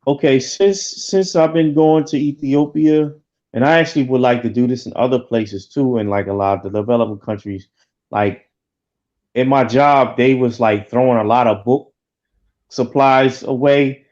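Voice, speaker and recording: An earnest middle-aged man speaks at an ordinary pitch for a man, padding his sentences with "like". His sound comes through dull and muffled. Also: fairly even intonation, normal speed, a little mellow. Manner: earnest, full of fillers like "like". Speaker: guest. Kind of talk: casual chat.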